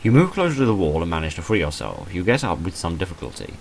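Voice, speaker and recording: A man's voice: sits low, big pitch swings, fast talker, creaky, slight background noise. A man reading visual novel text aloud dramatically, with dry, understated wry commentary in between. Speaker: gamer recording a playthrough.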